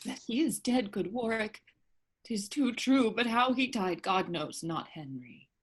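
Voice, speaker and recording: A woman playing a fragile, pious medieval king in classical verse, with a husky voice. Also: on the high side, animated, a little slow. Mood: mournful. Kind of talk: classical verse stage acting. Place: remote staged reading over video call.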